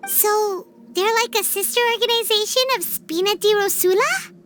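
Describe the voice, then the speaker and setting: A woman voicing a tiny, childlike fairy companion in a video game, squeaky, eager and naively inquisitive. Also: steady tone, normal speed, a bit bright, slight background noise. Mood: curious. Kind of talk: video game cutscene voice acting.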